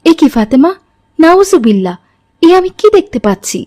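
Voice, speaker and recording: A woman's voice: sits high, sing-song, moderate pace, dark tone, no room sound, studio quality. A pious middle-aged mother from a moral-tale cartoon, acted by a woman. She is strict but caring, and delivers her advice like a lesson, preachy and admonishing. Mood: stern, pleading. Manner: preachy, instructive.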